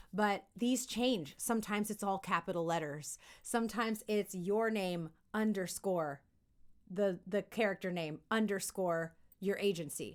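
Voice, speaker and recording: A woman giving an upbeat, conversational lesson as a voice acting coach, animated and encouraging, often checking in with listeners. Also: mid-range voice. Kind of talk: upbeat instructional talk to camera.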